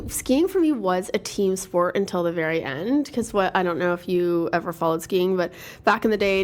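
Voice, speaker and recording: A middle-aged woman from Toronto, talking as an interview guest in an upbeat, relaxed way with many ums. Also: lowish voice. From Toronto, Canada. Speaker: interview guest, marketing executive. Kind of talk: casual conversation.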